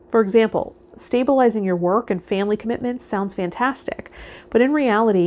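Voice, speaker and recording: Female tarot vlog host, medium pitch, measured and instructive, addressing viewers directly. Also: darkish tone. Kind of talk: reading tarot card meanings aloud, then casual guided talk to viewers.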